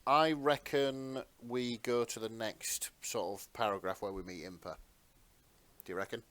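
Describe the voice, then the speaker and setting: A man's voice: mid-range voice, fairly even intonation, unhurried, fairly bright tone, no room sound. A man co-hosting a podcast in casual banter, with a blunt, sweary manner. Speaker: podcast co-host.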